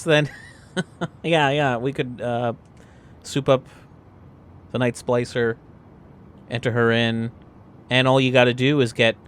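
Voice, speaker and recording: A man's voice: medium pitch, clear, resonant tone. A man chatting casually and jokily as a tabletop roleplaying player, in a rambling, hesitant way. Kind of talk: casual improvised game chat.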